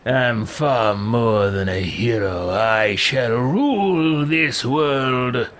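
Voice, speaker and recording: A man roleplaying an arrogant, scheming inquisition leader in a video game, with haughty, deliberate phrasing. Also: mid-range voice, expressive, a bit bright. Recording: crowd and outdoor game noise behind.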